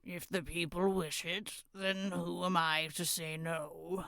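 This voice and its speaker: Woman acting as solemn village elder, slow and formal. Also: on the low side, level tone, a bit bright, dry recording, clean studio sound, heavily acted. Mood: grave. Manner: formal, deliberate, throat-clearing. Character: solemn, self-important village elder presiding over meeting. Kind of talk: horror roleplay audio drama.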